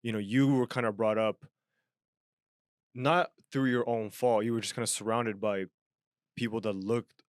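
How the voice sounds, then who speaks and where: A man's voice: lowish voice, moderate pace, pauses often, dry recording, clean studio sound. A young man from Japan co-hosting a podcast, talking earnestly in a casual chat with frequent restarts. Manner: earnest, animated, many restarts. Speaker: podcast co-host.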